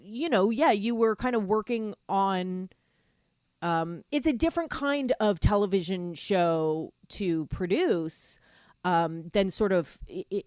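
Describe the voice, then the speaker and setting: A woman hosts a radio interview in a dry, close studio sound. Her pitch sits in the middle range. She asks long, loose questions full of fillers, in a friendly, chatty way. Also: a little mellow, no room sound. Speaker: radio host. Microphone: studio microphone.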